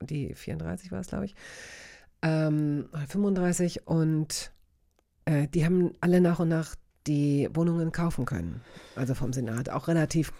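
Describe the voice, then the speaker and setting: A woman's voice: low-pitched, normal speed, gravelly, mellow. A middle-aged woman hosting a casual, conversational interview. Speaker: interview host.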